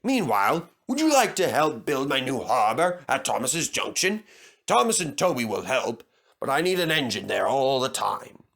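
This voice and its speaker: A man voicing a genial, authoritative gentleman who runs a railway, in a children's storybook. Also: mid-range voice, very animated, moderate pace, creaky, full character voice. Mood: kindly, encouraging.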